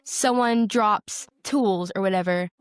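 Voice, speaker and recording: A woman's voice: mid-range voice, slow, clear, resonant tone, no room sound. Female podcast co-host, informal chatty delivery, mid-range voice, dry studio-style sound. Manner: informal, peppered with "like". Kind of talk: casual chat about a TV show.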